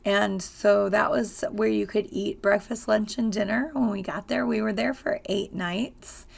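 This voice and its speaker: A woman with a hoarse, raspy voice, chatting casually in a travel vlog with frequent ums and uhs. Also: mid-range voice, fairly even intonation, measured pace. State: hoarse from screaming. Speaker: travel vlogger.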